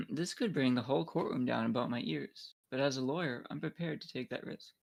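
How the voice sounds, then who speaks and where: A man's voice: mid-range voice, brisk, gravelly. A man voicing an earnest, anxious young defense lawyer, speaking politely in a video game fan dub. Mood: apprehensive, resolved.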